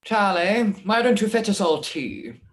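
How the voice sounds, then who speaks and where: A woman's voice: mid-range voice, expressive, a little slow, lightly acted. Woman acting a swooping, theatrical genteel hostess. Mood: gracious. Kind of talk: amateur radio play acting.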